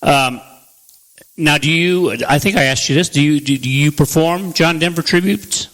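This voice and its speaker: A man's voice: a little high, normal speed, fairly bright tone, poor audio. A man hosting a radio interview show, asking questions in a friendly, encouraging way. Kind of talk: casual interview chat.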